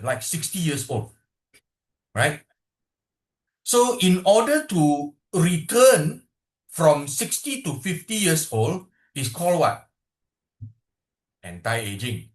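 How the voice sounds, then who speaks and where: A man's voice: on the high side, echoey room. A man with a Malaysian English accent giving a sales presentation on a video call, checking in with his listeners with "okay" and "right". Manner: questioning the audience, repeated "okay" and "right". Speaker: product presenter.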